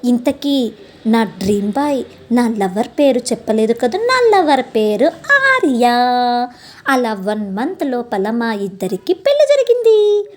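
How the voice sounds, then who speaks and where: A woman's voice: a little high, slow. A woman telling a romantic story in the first person, expressively and speaking straight to listeners. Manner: expressive, addresses listeners directly, acts out dialogue. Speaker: storyteller, channel host.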